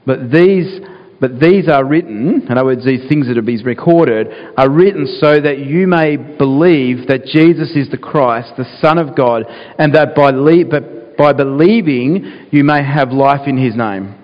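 A man with a croaky voice, earnest and pausing with fillers, praying and reading scripture as a pastor in a sermon. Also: mid-range voice. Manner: earnest, with frequent fillers and restarts. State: croaky, clearing his throat. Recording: dull sound, long church reverb.

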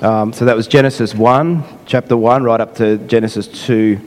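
A man preaching a sermon in an unhurried way, his voice croaky, often repeating and rephrasing himself. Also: medium pitch, steady tone, in a large hall. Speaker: pastor.

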